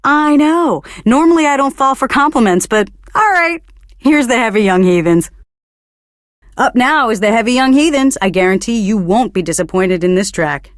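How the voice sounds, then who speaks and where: A woman's voice: a little high, expressive, moderate pace, dry recording, studio quality, close to an everyday voice. Bookish, earnest woman playing a female radio DJ. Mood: coy, smug, then smooth promotion. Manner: earnest, literary, pretentious. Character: bookish, pretentious young female alternative radio DJ. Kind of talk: scripted radio DJ patter for a video game.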